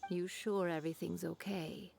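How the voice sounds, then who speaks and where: A woman playing a worried, tender middle-aged mother in a video game, soft and breathy. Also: lowish voice, fairly even intonation, normal speed, faint music behind.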